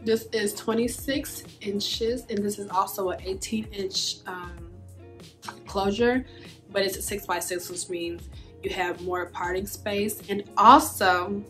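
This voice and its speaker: A woman in her twenties with a dry, deadpan voice, chatting casually through a hair tutorial as a beauty vlogger. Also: mid-range voice, takes it slowly. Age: 20s. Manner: monotone, deadpan delivery. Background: background music.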